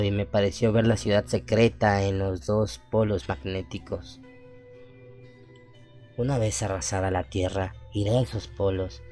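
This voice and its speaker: A man acts out an uncanny half-human young sorcerer in a radio horror play. The voice is low and deliberate, brooding over a sinister diary. Music plays clearly enough to notice behind the words. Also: a little mellow. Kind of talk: radio horror drama monologue.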